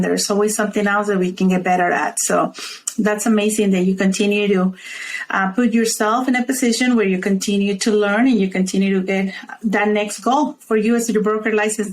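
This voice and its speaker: A woman's voice: mid-range voice, a little hiss or hum, low-quality recording. A woman hosting a podcast interview, encouraging her guest and asking long, rambling questions. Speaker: podcast host. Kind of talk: casual interview talk.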